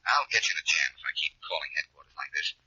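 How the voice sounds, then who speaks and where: A man's voice: a bass voice, expressive, rapid-fire, sharp tone, dull audio, slight background noise, heavily acted. A man playing a cocky, wry amateur detective with breezy banter, in 1940s radio acting on an old recording. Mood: confiding. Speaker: actor, radio drama lead.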